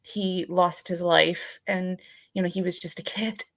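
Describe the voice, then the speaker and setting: A woman co-hosting a casual podcast, talking hesitantly with halting phrases and frequent pauses. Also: fairly low pitch, fairly even intonation, vocal fry, a bit bright, dry recording, studio quality. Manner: hesitant, halting, many pauses and "you know". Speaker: podcast co-host.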